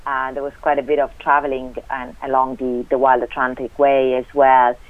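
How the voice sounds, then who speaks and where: A woman's voice: a bass voice, flat delivery, moderate pace. A woman from Italy with an Italian accent, a guest on a radio interview, speaking hesitantly with many fillers and restarts. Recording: tinny phone line.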